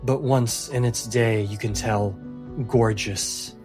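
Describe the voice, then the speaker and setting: Slow, low-voiced man narrating fantasy. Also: steady tone, a little mellow, soft background music. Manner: measured, dramatic pauses. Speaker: game master. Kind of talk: narrating a fantasy tabletop game.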